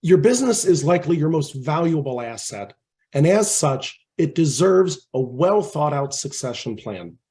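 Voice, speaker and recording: Male host, slightly hoarse, reads the intro steadily. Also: on the high side, darkish tone. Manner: reads prepared remarks steadily. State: slightly hoarse from a head cold. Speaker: webinar host. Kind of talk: scripted webinar introduction.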